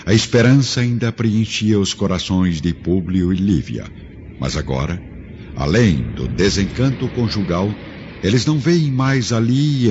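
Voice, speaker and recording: Very deep, dramatic male narrator. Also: darkish tone, a little hiss or hum. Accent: Brazilian. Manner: solemn, literary, theatrical. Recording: dull lo-fi radio production, music underneath.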